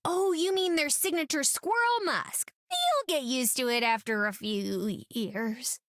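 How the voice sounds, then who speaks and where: A woman's voice: high voice, animated, unhurried, fairly bright tone, no echo, clean studio sound. A woman voicing a perky, cheerful young video game heroine with a chirpy, folksy manner. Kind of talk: video game character voice lines.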